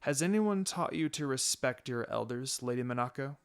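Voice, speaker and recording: Casting: scheming old village elder for a story reading, played by a man, menacing, deliberate, coldly threatening. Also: mid-range voice, expressive, smooth, faint music behind, lightly acted. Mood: cold, mocking. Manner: measured, menacing.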